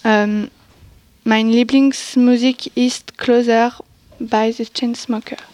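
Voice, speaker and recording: A young woman speaks slowly and carefully, piecing together phrases as she presents to her class. Her voice sits fairly high. The recording is dry and close. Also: little pitch movement, darkish tone, no echo. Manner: careful, broken learner phrasing. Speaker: student presenting on music. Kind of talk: classroom presentation in a learned language.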